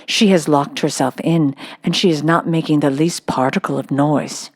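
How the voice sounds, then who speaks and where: A woman's voice: low voice, fairly even intonation, moderate pace. Lady reading as meek storybook schoolmistress, good natured, gossipy, a bit flustered. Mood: amazed.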